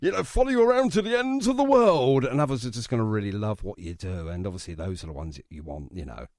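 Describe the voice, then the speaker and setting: A man's voice: fairly low pitch, lively intonation, fast, darkish tone, no echo, studio quality. A chatty, matey English man hosting a casual radio interview, speaking with some emphasis.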